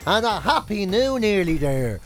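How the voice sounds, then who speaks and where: A man's voice: high voice, big pitch swings, normal speed, faint music behind. A man with an Irish accent co-hosting a radio show, bantering casually with blunt, sweary, self-mocking humour.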